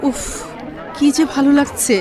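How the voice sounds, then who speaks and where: A woman's voice: normal speed, a crowd in the background, lightly acted. An actress playing a caring, politely concerned younger female relative in a Bengali radio drama. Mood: caring, expectant.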